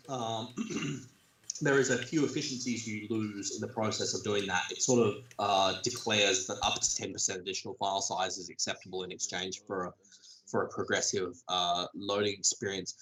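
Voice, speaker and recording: A man answering technical questions in a casual chat, thinking aloud with many ums and restarts, his voice going hoarse. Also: on the low side, a bit bright, poor audio. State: losing his voice, clearing throat. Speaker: developer answering community questions.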